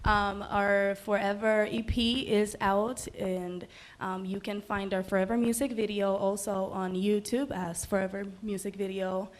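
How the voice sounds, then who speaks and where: A woman's voice: mid-range voice, flat delivery, measured pace. A young woman from the Dominican Republic, a musical guest chatting casually in an interview, upbeat and full of ums. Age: young adult.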